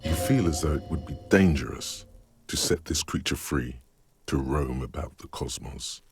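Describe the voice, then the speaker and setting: A man narrates in the second person with slow, ominous weight, as in a story-driven game. His voice is extremely low and gravelly, with a faint music bed underneath. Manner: measured, ominous, literary.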